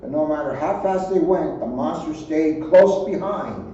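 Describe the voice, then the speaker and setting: A man's voice: a little high, moderate pace, mellow. A man reading a children's storybook aloud, adding his own offhand asides. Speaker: police officer reading aloud. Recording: muffled, very echoey, distant, faint noise.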